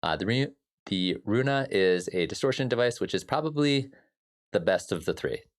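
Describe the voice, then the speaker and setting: A man's voice: lowish voice, animated, normal speed. A man with a slightly scratchy voice hosting a casual music production livestream, rambling easily with many fillers and greeting viewers in chat. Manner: talks to viewers by name and answers chat. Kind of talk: casual live-stream chat.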